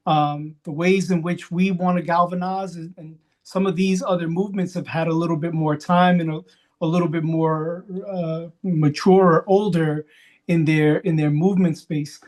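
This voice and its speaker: Male panelist from New York, slightly high voice, reflective, halting, unscripted organizer talk. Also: fairly even intonation. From Queens, New York, USA. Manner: reflective, halting, punctuated with "right?".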